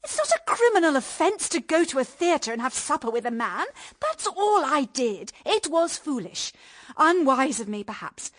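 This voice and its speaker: An actress playing a pleading, proud young British wife in a period radio drama, speaking with emotional, halting delivery. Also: female voice, sits high, big pitch swings, fairly bright tone. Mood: defiant, defensive. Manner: emotional, halting, stammering under strain.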